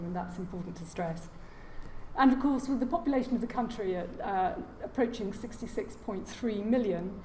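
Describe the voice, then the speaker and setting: A soft-spoken woman giving a formal lecture as a guest speaker, with frequent hesitations and fillers. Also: medium pitch. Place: large lecture room. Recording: echoey lecture hall, faint noise.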